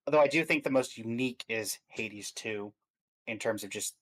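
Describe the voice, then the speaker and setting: Guy co-hosting a game stream, average pitch, chatty, sort of thinking out loud. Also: normal speed. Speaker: stream co-host. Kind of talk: casual chat about video games.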